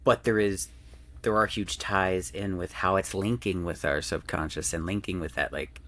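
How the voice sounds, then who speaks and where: A man's voice: deep voice, steady tone, normal speed, a bit bright. A man in a casual podcast chat, hesitantly searching for his words as he talks. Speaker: podcast conversation partner.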